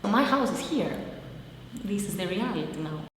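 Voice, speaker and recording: A woman's voice: medium pitch, animated, moderate pace. A young woman with a Greek accent, a native Greek speaker, giving a reflective personal account in simple, searching non-native English. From Greece. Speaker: interviewee, immigrant living in Italy. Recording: very echoey reverberant hall, faint noise.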